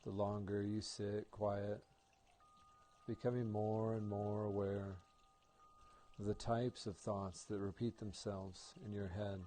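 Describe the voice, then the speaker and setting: Low, mellow man guiding meditation. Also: slow, a little hiss or hum. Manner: calm, gentle, soothing instruction. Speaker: meditation guide, yoga instructor. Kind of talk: guided meditation narration.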